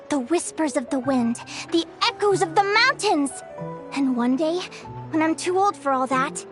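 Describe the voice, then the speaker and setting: A woman voicing a young, curious bird fae explorer in a video game, talking in an eager, wondering way. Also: very high-pitched. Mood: dreamy. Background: game music.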